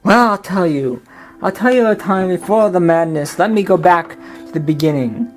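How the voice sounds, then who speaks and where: Weary young pirate seaman, read by a woman, plain storybook telling, soft music behind. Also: lowish voice, animated, moderate pace, natural voice. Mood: earnest. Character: young Irish pirate seaman, put-upon, resentful. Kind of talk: first-person storybook narration.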